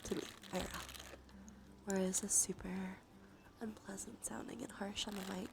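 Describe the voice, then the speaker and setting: A woman streaming ASMR, talking softly and breathily into a close microphone, gently repeating phrases to her live chat. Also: medium pitch, little pitch movement, background noise. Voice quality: whispery, breathy. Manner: gentle, slow repetition of phrases. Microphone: close sensitive ASMR microphone. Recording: soft music, crinkling behind. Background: music, crinkling and brushing sounds.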